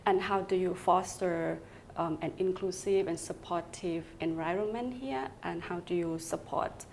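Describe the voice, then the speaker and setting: A woman with a Thai accent asking interview questions in halting, simply phrased English. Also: lowish voice, creaky, a little mellow, slight background noise. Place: office, indoors.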